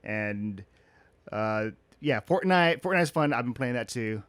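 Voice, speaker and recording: A man hosting a casual interview, asking in a rambling, halting way. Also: mid-range voice, expressive, fairly bright tone, no room sound. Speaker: interviewer, host.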